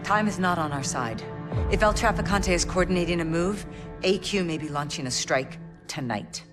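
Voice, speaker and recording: A woman's voice: fairly low pitch, normal speed, music in the background, lightly acted. A woman playing a stern, authoritative intelligence officer, giving clipped, businesslike briefings to troops in a video game. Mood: grave, determined. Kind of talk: scripted mission briefing. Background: dramatic game score.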